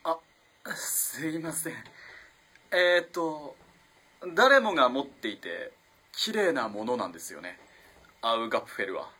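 A man's voice: mid-range voice, sing-song, unhurried, long pauses, sharp tone, breathy edge, exaggerated voice. An actor playing a polite, earnest, easily flustered young male student in a radio drama. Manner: polite, eager, hesitant. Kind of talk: Japanese radio drama acting.

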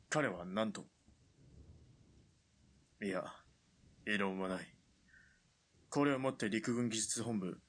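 A stubborn army officer, voiced by a man in a radio drama, talking very slowly. His voice is husky with a bright, tense edge, and he speaks in a measured, formal way. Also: lowish voice, heavily acted.

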